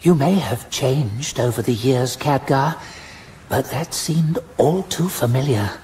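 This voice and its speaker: A man's voice: mid-range voice, slow pace, a little mellow, lightly acted. A male narrator voicing a stern, cold female elf warden who speaks in clipped, accusing lines. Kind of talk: audiobook character voice.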